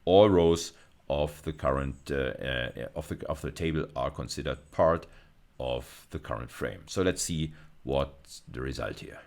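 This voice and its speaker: A man with an exceptionally deep voice delivers an instructional lecture. He is deliberate and emphatic, often repeating a phrase or rewording it as he explains. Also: darkish tone. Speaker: university lecturer.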